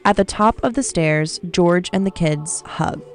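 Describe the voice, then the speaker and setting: A woman's voice: fairly low pitch, unhurried. A woman narrating a scripted radio drama, reading out the action as narrator. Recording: music and crowd noise behind. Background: music and crowd bustle.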